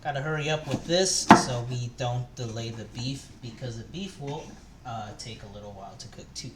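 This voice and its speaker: A man's voice: mid-range voice, moderate pace, very noisy. A young man chatting casually while he cooks on a live stream, rambling with lots of fillers and restarts. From Taiwan. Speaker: cooking streamer. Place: home kitchen. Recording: echoey room with music. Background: background music and chopping.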